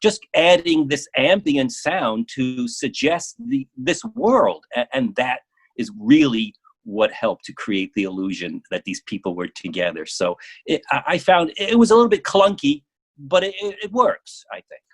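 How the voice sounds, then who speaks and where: A man speaking casually as an interview guest about his work, often halting and repeating words as he goes. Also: mid-range voice, sing-song.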